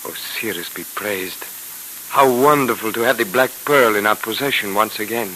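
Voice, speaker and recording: A man's voice: on the low side, moderate pace, pushed and bright, low-quality recording. An actor in a 1930s radio serial playing a humble, devout Egyptian man with courtly, effusive phrasing. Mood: effusively grateful. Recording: hissy old 1930s radio recording.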